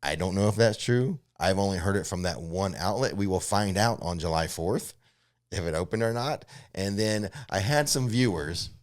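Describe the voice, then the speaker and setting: Low-voiced man chatting casually. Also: normal speed. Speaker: co-host answering viewer questions. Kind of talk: casual unscripted theme park news chat. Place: studio.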